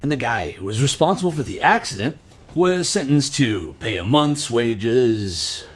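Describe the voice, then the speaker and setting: An actor playing a gossipy tobacco shop keeper who rambles colloquially in a crime radio play. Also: male voice, medium pitch, expressive, a little slow, slightly rough. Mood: gossipy, animated. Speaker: voice actor in a crime audio drama.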